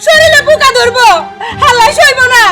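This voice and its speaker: Shrill, fiery village mother played by an actress. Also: brisk, over music. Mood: wailing, cursing. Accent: Bangladeshi. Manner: shrill scolding and wailing. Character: fiery, aggrieved village mother, protective and cursing. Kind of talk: melodramatic short-film acting.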